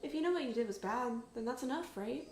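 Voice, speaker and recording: A woman's voice: sits high, lively intonation, fast talker, darkish tone. A woman voicing a guarded, coolly teasing teenage girl in a story reading. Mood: calm. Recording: roomy echo with music behind.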